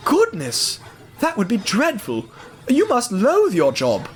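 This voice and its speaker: Skarloey, a squeaky, prissy little engine. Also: male voice, huge pitch swings, slow, slight background noise, exaggerated voice. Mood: fussy, puzzled. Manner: fussy, indignant, melodramatic. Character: prissy, whiny young talking steam engine, male, easily disgusted.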